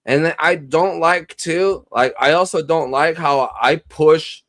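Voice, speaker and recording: A man's voice: a little high, unhurried, fairly bright tone. Slangy, rambling man around thirty. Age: 30s. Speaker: panel guest. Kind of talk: casual panel talk.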